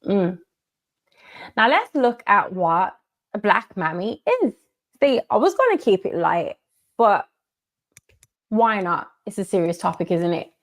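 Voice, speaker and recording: A woman speaking at a middle pitch delivers a measured monologue, stressing her points and repeating them for weight. Her tone stays firm and deliberate throughout. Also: sing-song. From United Kingdom. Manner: measured, emphatic, repeats key phrases. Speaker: commentator in a played video. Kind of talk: monologue commentary.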